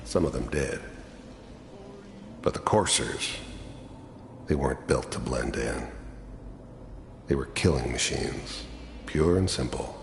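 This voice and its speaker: An actor playing a cynical, hardened middle-aged mercenary in a video game, with a gravelly voice and a wry, world-weary way of confiding. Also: male voice, slow pace, pauses often, heavily acted. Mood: grim reflective. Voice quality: gravelly, very deep. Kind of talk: video game character monologue. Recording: echoey hall reverb with background noise.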